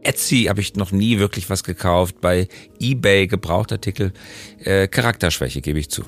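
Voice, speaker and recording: A man's voice: low-pitched, normal speed, faint music behind. A man hosting a podcast in a conversational style, with a wry, playful manner. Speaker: podcast host.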